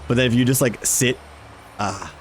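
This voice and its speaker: A man's voice: mid-range voice, moderate pace, a little hiss or hum. A man giving casual, informal game commentary with an enthusiastic manner. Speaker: video game vlogger, host. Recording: music behind the voice. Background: game background music.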